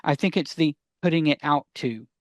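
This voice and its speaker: A man's voice: fairly high pitch, moderate pace, no echo. A man co-presenting a podcast in casual explanatory chat, wry and rambling, repeating words for emphasis.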